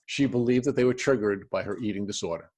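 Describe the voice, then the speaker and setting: A man's voice: lowish voice, quick pace, a little mellow, husky. A man hosting an interview podcast, reading prepared introductions and putting questions to a guest.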